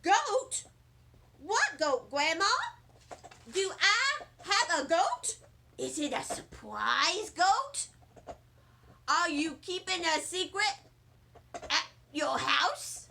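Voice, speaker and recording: A woman voicing an excitable, indignant little girl from a storybook, with childish grammar and emphatic, repeated phrases. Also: very high voice, very slow, vocal fry, long pauses, slight background noise, full character voice. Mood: wide-eyed curiosity.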